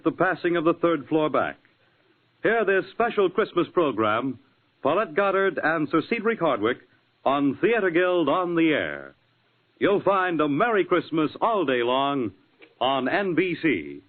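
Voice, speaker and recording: An American man announcing from a script in 1940s network radio style. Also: a little high. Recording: narrow tinny vintage radio broadcast.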